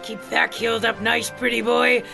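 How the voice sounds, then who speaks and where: A man playing a brutish, simple-minded warrior in a fantasy audio drama, gravelly and speaking in blunt broken grammar. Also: extremely high pitch, monotone, normal speed, over music, heavily acted. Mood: gleefully violent. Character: brutish, simple-minded male warrior who loves killing.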